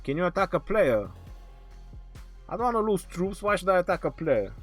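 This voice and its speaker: Male streamer, fraying hoarse voice, slightly high, casual Q&A host. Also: big pitch swings, moderate pace. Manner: reads viewer questions aloud then answers, laughs often. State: hoarse, losing his voice. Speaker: game livestream host. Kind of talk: casual live Q&A reading chat questions. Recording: faint background music.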